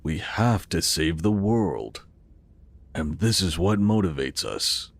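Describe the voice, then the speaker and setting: Man playing a deep, swooping-voiced visionary founder. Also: lively intonation, vocal fry, husky, exaggerated voice. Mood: solemn, earnest. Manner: measured, explanatory, formal, with rhetorical questions. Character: visionary cult-like founder of a futuristic city, calm, earnest. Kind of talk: audio drama acting.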